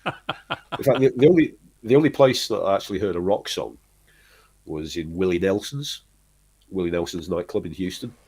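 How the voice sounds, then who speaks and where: A middle-aged man from Manchester, telling long rambling stories from his life in a relaxed chat, with lots of restarts. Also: deep voice, moderate pace, pauses often, slightly rough. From Manchester, England. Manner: rambling storyteller, restarts often, chuckles. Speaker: guest, band singer. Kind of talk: casual interview chat.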